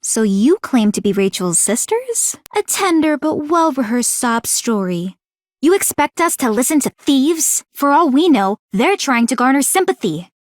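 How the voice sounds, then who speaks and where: High-voiced, confident young female strategist. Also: very animated, measured pace, dry recording, clean studio sound. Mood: stern, suspicious. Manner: formal, commanding, precise. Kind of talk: scripted video game character voice acting.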